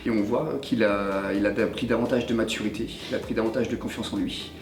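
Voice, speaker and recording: A man in his forties, a youth football coach, speaking in a measured interview with frequent hesitations. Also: lowish voice, steady tone. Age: 40s. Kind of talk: documentary interview. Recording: roomy, distant mic.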